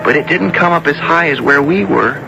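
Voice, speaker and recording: A man's voice: a little high, fairly quick, vocal fry, dull audio. An actor playing an earnest, hesitant young boy telling his adventure in a 1970s radio drama. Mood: earnest storytelling. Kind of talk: 1970s radio drama acting and first-person narration. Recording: 1970s radio broadcast with music behind.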